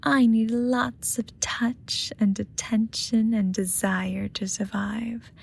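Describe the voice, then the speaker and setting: A woman's voice: fairly high pitch, little pitch movement, slow pace, vocal fry, breathy edge, heavily acted. A woman voicing a seductive, possessive lust demon in a romantic ASMR roleplay, smug and teasing, often dropping into whispers. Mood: seductive, boastful.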